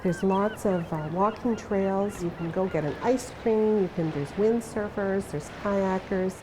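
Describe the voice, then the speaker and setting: A woman speaking for her city in a promotional interview, listing its features in a proud, measured way. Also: medium pitch. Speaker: local city representative interviewee. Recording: music bed behind the voice.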